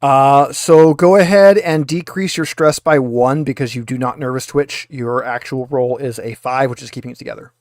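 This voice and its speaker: A man's voice: mid-range voice, fairly even intonation, normal speed, few pauses, a little mellow, no echo, studio quality. A man running a tabletop roleplaying game as game master, explaining rules and guiding players step by step.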